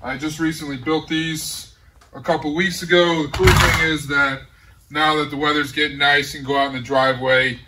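A middle-aged man casually showing off his garage gym, talking in a relaxed, rambling way with many pauses. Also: fairly high pitch, far from the mic, slight background noise, low-quality recording. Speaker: home gym owner giving a tour. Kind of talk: casual walk-through tour. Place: large garage home gym.

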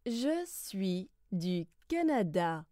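Female language-lesson reader, extremely slow, careful enunciation, close dry sound. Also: medium pitch, very animated, dark tone, dry recording. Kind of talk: slow scripted phrase reading.

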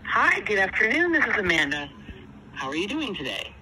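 A woman's voice: mid-range voice, sing-song, brisk, bright, forceful tone. A woman telemarketer making a scripted sales call, polite and formulaic. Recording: noisy telephone call.

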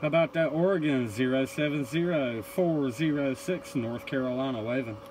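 A man's voice: medium pitch, moderate pace. A man operating a CB radio, calling out and answering contacts in short radio phrases. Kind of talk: CB radio calls. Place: indoor radio room. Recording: noisy room with radio static.

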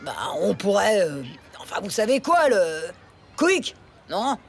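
A woman playing a big, blunt school nurse in a French cartoon, with a gravelly voice. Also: medium pitch, sing-song, takes it slowly, full character voice. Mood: hesitant. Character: large, blunt female school nurse, coaxing with animals.

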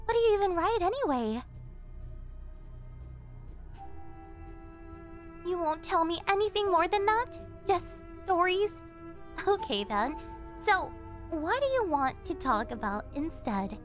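An extremely high, swooping young woman's voice in an anime yandere roleplay. She is an obsessive captor who sounds sweet, theatrical and teasing, with possessiveness under the cheer. Faint music plays behind her. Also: very animated, moderate pace. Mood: chatty, probing. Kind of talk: yandere ASMR roleplay acting, anime-style.

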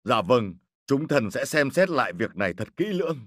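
A man's voice: medium pitch, big pitch swings, normal speed, fairly bright tone, no room sound, clean studio sound. A man voicing a humble, careful minister in a historical cartoon, addressing a ruler with formal deference. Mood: deferential. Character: humble, careful court minister advising his emperor.

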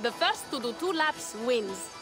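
An actress playing a boisterous, playful and proud Viking warrior in a video game, with a hearty, teasing delivery. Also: female voice, high-pitched, sing-song, measured pace, over music. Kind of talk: video game cutscene acting.

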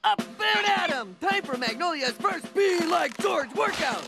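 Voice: very high-pitched, animated, measured pace, loud music behind the voice, exaggerated voice. An actor voicing a dim-witted, friendly, eager muscular jungle man in a cartoon, goofy and boastful. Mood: pumped up. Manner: goofy, boastful, third-person simpleton delivery. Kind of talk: animated cartoon acting.

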